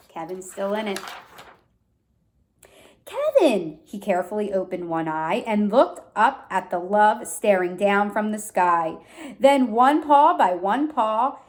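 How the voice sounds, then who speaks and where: A woman reading a storybook expressively to young children, pausing to explain simply and ask questions. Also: medium pitch. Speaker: read-aloud teacher for young children.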